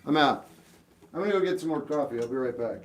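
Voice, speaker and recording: A man's voice: mid-range voice, animated, fast. A man in his fifties chatting casually on a livestream, answering viewers with rambling, self-deprecating advice and lots of restarts. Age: 50s. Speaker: livestream host.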